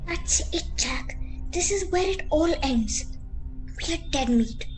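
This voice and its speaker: A woman acting a frightened, polite young girl in an amateur radio play, halting and nervous. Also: very high voice, animated, faint music behind, heavily acted. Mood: panicked.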